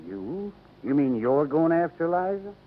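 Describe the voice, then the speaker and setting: A man's voice: a little high, very animated, normal speed. A man acting as a wary, grave rancher in a 1950s radio drama, plainspoken and cautioning, with an American accent. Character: wary, grave male rancher giving warnings. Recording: tinny, faintly noisy vintage radio.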